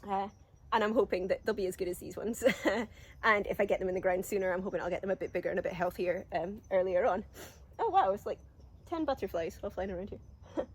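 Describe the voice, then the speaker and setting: A woman's voice: medium pitch, fast talker, breathy edge. A woman with a cold, a bit sniffly, chatting casually through a walk-through of her allotment garden with lots of ums and little laughs. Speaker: gardening vlogger.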